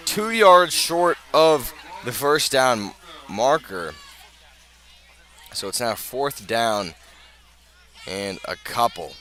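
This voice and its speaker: Male American sports announcer, lower-pitched but very bright and forceful, live radio football play-by-play, outdoors at the stadium. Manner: measured scene-setting, rising to urgent calls.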